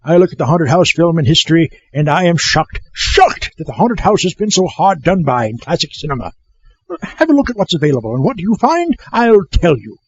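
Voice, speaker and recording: Man playing a high, husky, pompous film scholar. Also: very animated, fairly quick. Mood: indignant. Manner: pompous, indignant, emphatic. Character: pompous, indignant film-buff doctor, male. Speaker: film history commentator. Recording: dull, muffled recording.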